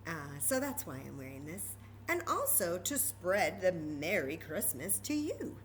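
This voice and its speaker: American woman, children's storytime reader, lower-pitched voice, very expressive swoops, chatty asides, light background noise. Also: a little slow. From Texas, United States. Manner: expressive, talking directly to young children.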